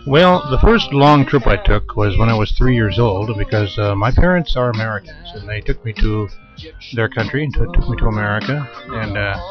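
A man's voice: lowish voice, expressive. A man in his fifties who grew up in Japan, a radio interview guest telling long, thoughtful stories. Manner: unhurried, thoughtful storytelling. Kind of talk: casual interview. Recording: muffled lo-fi radio recording with music behind.

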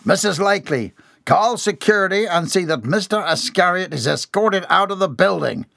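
A man voicing a stern old shipping boss in an audiobook, forceful and accusing in manner. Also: fairly high pitch, animated, moderate pace, gravelly, no room sound, clean studio sound, pitched above the performer's own voice, exaggerated voice. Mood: furious. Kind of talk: dramatised audiobook dialogue.

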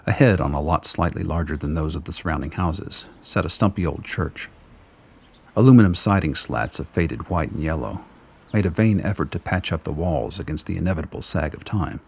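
A man narrating a radio play in a measured, descriptive storytelling manner. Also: a bass voice, gravelly, breathy edge. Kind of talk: scripted narration.

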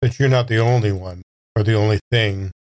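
A man's voice: lowish voice, a little fast, a little mellow, no room sound, natural voice, poor audio. A man playing a weary, questioning man with a dry manner in improvised audio drama roleplay. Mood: probing.

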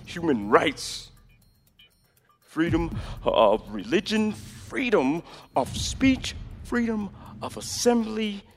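A male actor plays a weary, grave president in a radio drama, delivering a halting oration. The pace is slow and the pitch rises and falls widely. His words ring with long reverb. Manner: halting, deliberate, repeats words for emphasis. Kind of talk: radio play acting, oratorical address. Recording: long reverb, music underneath.

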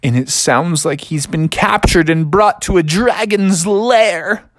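A man's voice: sits high, slow, no echo, studio quality, voice pushed up. A man voicing an eager young boy frog who sounds anxious and earnest. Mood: alarmed. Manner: earnest, anxious, breathless questions.

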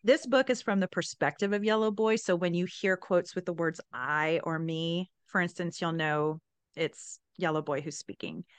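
A woman's voice: on the low side, moderate pace, clean tone, no room sound. A woman podcast host chatting in a folksy, conversational way and speaking directly to her listeners. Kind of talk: conversational podcast introduction to story time.

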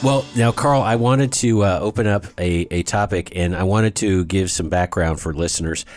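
A man's voice: low-pitched, moderate pace, darkish tone, faint music behind. A man host in a casual chat who teases his guest with dry humor.

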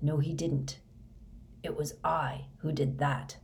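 Storybook villain: menacing older male wizard , played by a female narrator, insistent, fervent, unhurried delivery. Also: on the low side, expressive, a little hiss or hum. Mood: coldly amused. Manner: quiet insistent questioning rising to fervent intensity. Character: grim, menacing, fanatical older man, a wizard teacher.

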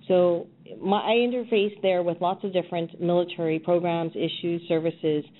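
A woman's voice: on the low side, steady tone, moderate pace. A middle-aged woman from Hawaii speaking conversationally as an executive director being interviewed on a podcast. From Hawaii, United States. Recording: tinny phone line with noise.

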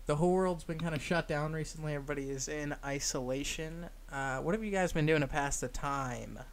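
A man's voice: a little high, brisk. A young man hosting a casual group podcast, joking and laughing often as he talks. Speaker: podcast host.

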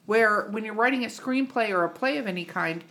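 A woman's voice: mid-range voice, fairly quick, bright, forceful tone. A woman hosting a podcast interview in a casual, informal and teasing way. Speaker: podcast host.

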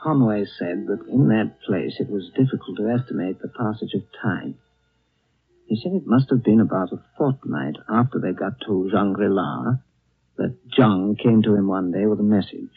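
An actor playing a grave, thoughtful narrator who tells a tale in deliberate phrases for a 1930s radio drama. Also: male voice, lowish voice, slightly rough, dry recording. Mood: grave, hushed wonder. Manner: deliberate, atmospheric, pausing phrases. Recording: dry, narrow-band old radio broadcast.

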